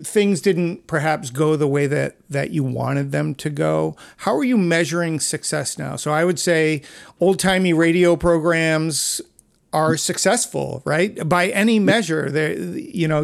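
A man hosting a casual interview podcast, phrasing things in a halting, thoughtful way. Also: fairly high pitch, gravelly. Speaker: podcast host.

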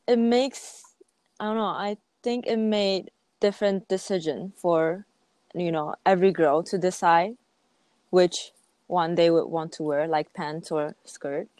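Slow-talking young woman, smooth voice. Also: lowish voice, a little mellow, no echo. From Taiwan and Japan. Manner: hesitant, searching for words. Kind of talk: casual conversational discussion.

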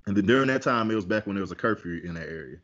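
A man's voice: on the low side, lively intonation, rapid-fire, a bit bright, dull audio. A man telling a personal story in a casual podcast chat, candid and conversational. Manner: candid, conversational, with many fillers and restarts.

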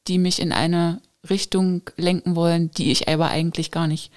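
A woman with a fairly low voice hosts a podcast. She speaks in a calm, encouraging, reflective way, like a coach guiding her guest. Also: moderate pace. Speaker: podcast host. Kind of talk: casual podcast conversation.

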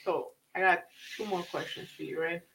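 A man's voice: high-pitched, moderate pace, gravelly, fairly bright tone, rough recording. A man hosting a podcast, asking questions and teasing his guest in casual chat. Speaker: podcast host.

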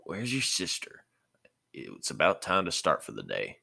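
A man's voice: sits low, wildly swooping pitch, a little slow, creaky, pushed and bright, no room sound, heavily acted. A man voicing a friendly young cattle farmer in a story reading, chatty and folksy in manner. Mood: chiding.

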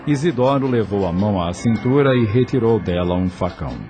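A man narrating a radio drama in a measured, steady way. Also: lowish voice, soft background music. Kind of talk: scripted narration.

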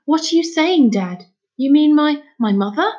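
A woman voicing an earnest, excitable young boy in a storybook, pleading and insistent. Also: high voice, moderate pace, highs cut off, voice pushed up. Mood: startled, questioning.

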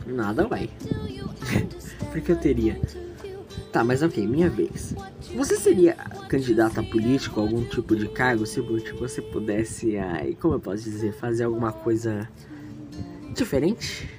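An earnest, hesitant Brazilian teenage boy in an audio drama, acted by a woman, with a very low voice that swings in pitch. Music plays behind the self-doubting lines. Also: very animated, unhurried, heavily acted. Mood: casual, curious.

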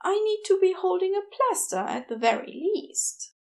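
Audiobook role: gentle, awkward gentleman played by a woman, high-pitched, breathy, prim, lilting and self-deprecating. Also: sing-song, normal speed, exaggerated voice. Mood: flustered, rambling. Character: flustered, gentle, apologetic man, awkward doctor. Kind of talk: audiobook character dialogue.

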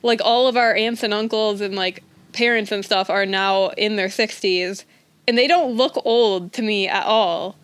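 A woman's voice: mid-range voice, a little slow, clean tone, dry recording. A woman of twenty, a podcast host, chatting casually and rambling with lots of "like". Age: 20s. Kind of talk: casual chat.

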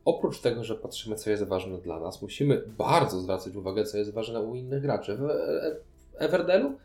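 Mellow man in an echoey room. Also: fairly low pitch, expressive, normal speed, faint music behind. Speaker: podcast co-host reviewing board games. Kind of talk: casual chat, board game review. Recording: roomy echo.

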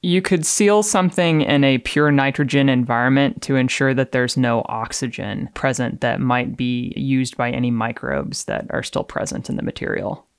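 A woman's voice: very deep voice, normal speed, hardly any pauses, smooth, no room sound. Woman reading and explaining science, dry studio sound. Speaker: podcast guest doing dramatic readings and explaining science. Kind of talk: dramatic reading of technical text mixed with conversational explanation.

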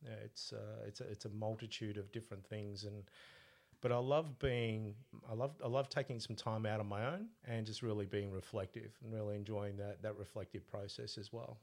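A middle-aged man born in Italy, with an Australian accent, co-hosting a podcast and chatting casually as a relaxed storyteller. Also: lowish voice, moderate pace. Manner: relaxed storyteller, laughs at own stories. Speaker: podcast co-host, coach. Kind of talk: casual conversational chat.

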